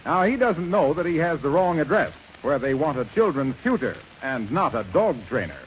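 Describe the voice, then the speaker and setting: A male announcer narrates in 1930s radio style, setting up the scene. His voice is a little high, and the recording is dull with a faint layer of noise. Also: very animated, moderate pace.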